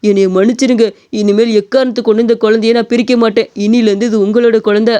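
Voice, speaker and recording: Casting: man as righteous cartoon village chief, very high clear voice, lecturing. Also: flat delivery, fast, dark tone. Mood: apologetic. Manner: lecturing, emphatic. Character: authoritative male village headman, righteous and moralising. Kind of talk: cartoon story dialogue.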